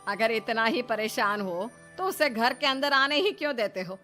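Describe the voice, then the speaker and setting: A woman's voice: fairly high pitch, expressive, moderate pace, pushed and bright, faint music behind. A woman playing a stern, scolding middle-aged mother-in-law in a cartoon story, blunt and reproachful.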